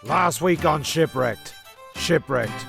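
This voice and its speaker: A man's voice: a little high, lively intonation, slow, creaky, a bit bright. A man hosting and narrating a comedy radio play in sweary banter, often stumbling and repeating his words. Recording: music behind the voice.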